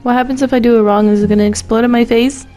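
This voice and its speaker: A woman's voice: a little high, steady tone, rapid-fire. A woman doing casual, joking gameplay commentary in a wry, playfully dramatic way. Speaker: game streamer. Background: soft game music.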